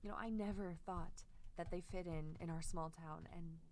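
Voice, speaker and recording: Actress as young small-town woman, earnest, wondering, slightly low voice, audio drama, faint noise. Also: steady tone, normal speed, a little mellow, close to an everyday voice. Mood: wistful.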